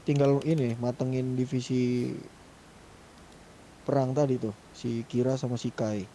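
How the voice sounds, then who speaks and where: A man's voice: mid-range voice, level tone, a little slow, warm tone, slight background noise. A man talking casually in a group discussion during an online game. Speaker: roleplay gang member helping lead a meeting.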